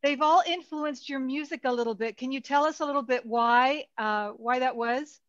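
A woman co-hosting, asking careful, measured interview questions over a video call. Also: high-pitched, dry recording. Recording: dry, dull video call audio.

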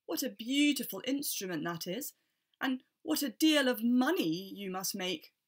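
A woman's voice: on the high side, lively intonation, measured pace. A woman voicing a foolish, eager man who trades everything away in a folktale. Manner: eager, wishful, easily delighted. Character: foolish, eager, gullible old-fashioned man.

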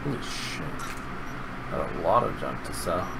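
A man's voice: low-pitched, big pitch swings, measured pace, gravelly. Middle-aged man streaming over game noise. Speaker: live streamer. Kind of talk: casual live gameplay commentary. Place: indoor room at a gaming setup. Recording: noisy with game sound behind. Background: video game vehicle and gunfire sounds.

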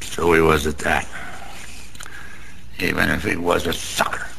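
A man's voice: very deep voice, level tone, a little slow, gravelly, pushed and bright, full character voice. An actor playing a bitter, sentimental, down-on-his-luck American small-time gambler in a radio drama, talking in rambling, world-weary slang. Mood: wistful boasting. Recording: faint background noise.

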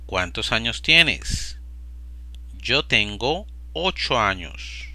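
A man slowly and deliberately repeating short phrases for language learners. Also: mid-range voice, sing-song, sharp tone, no room sound. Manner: slow, deliberate, evenly paced. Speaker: Spanish teacher. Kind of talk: slow repetition drill for learners.

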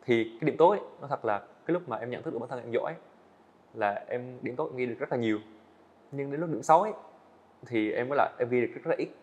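A young man talking quickly and earnestly with a middle-pitched voice, trying out his sentences again as he goes. The recording sounds a little dull and lo-fi, like a podcast studio set. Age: young adult. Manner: earnest, fast-talking, frequent small restarts. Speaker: student animator guest. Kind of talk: casual interview answers.

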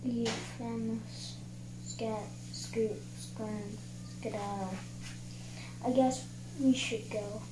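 A child reading a picture book aloud in a halting way, sounding out words. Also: medium pitch, steady tone. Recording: roomy echo, clear background noise.

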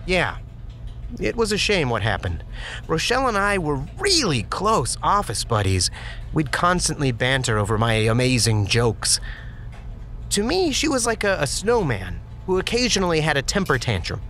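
A man's voice: a little high, huge pitch swings, normal speed, a bit bright, heavily acted. A man playing a goofy pun-loving office guy. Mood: chatty. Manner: joking, chatty, laughs at own puns. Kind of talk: audio drama interview monologue.

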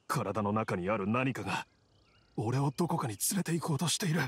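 An actor playing an earnest, desperate young village man in a video game, speaking plainly and roughly with halting intensity. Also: medium pitch, lively intonation, slight background noise, lightly acted. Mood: uneasy. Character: earnest, desperate young village husband, sickly but determined. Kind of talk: Japanese video game voice acting. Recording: studio voice over game music. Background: background music.

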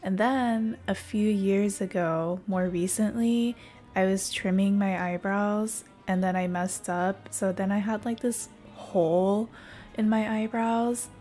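A young woman streamer rambles playfully over a game at a very slow pace. Her voice is a little hoarse, close on the mic, with game music underneath. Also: medium pitch, steady tone, warm tone. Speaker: VTuber streamer. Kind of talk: casual livestream chat over a game.

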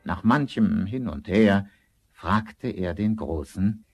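A man narrating a fairy tale as a grave storyteller, measured and dramatic. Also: lowish voice, lively intonation, darkish tone, slightly rough, exaggerated voice.

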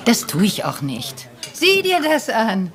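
A woman's voice: fairly high pitch, huge pitch swings, slow pace, heavily acted. An actress playing a frail, wealthy old lady in a crime radio play, wry and theatrical, moving between weary and pointed. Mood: weary, confiding.

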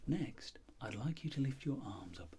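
A middle-aged man speaking in a breathy whisper, slow and reassuring, in an ASMR roleplay as a doctor examining a patient. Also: mid-range voice, animated, a little hiss or hum. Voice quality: breathy whisper, soft-spoken. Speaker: ASMR performer acting as a doctor.

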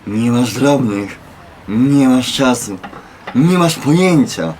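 A man's voice: mid-range voice, lively intonation, takes it slowly, darkish tone, slight background noise. A man acting a bitter, regretful homeless man, muttering with resignation in a radio play.

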